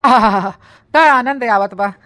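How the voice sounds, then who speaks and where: A woman's voice: medium pitch, slow pace, a bit bright, dry recording. A woman playing a sly new village bride in a comic cartoon story, with an eastern Uttar Pradesh accent and a dramatic, scheming way of talking. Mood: gloating. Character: sly rural new bride hiding a secret from in-laws. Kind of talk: comic village story dialogue and monologue in dialect.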